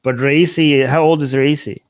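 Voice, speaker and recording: A man's voice: on the high side, steady tone, moderate pace. A man from Iran, a native Persian speaker, gives casual political commentary as a host, rambling and often rephrasing himself. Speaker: talk show host.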